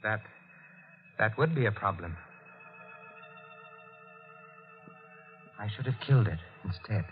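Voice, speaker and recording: A low, brooding male voice from an old radio thriller, played by an actor. He is a guarded, evasive husband who tells his dark story like a haunted confession. The sound is muffled, with prominent music behind. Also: animated, a little fast, close to an everyday voice. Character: guarded, brooding married man narrating his own dark crime. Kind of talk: 1940s radio thriller acting, first-person narration.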